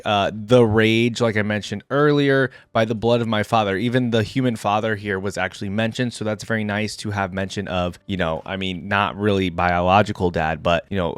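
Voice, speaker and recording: A man's voice: fairly low pitch, no room sound. A man giving casual reaction commentary as a video host, chatting directly to his viewers in a conversational way. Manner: conversational, addresses viewers directly as guys and gang.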